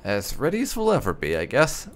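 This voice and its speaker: A man's voice: medium pitch, huge pitch swings, a little fast, slight background noise. A man hosting a roleplay game playthrough, commenting and voicing his choices in a casual way. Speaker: gaming host. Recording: game ambience faintly behind voice.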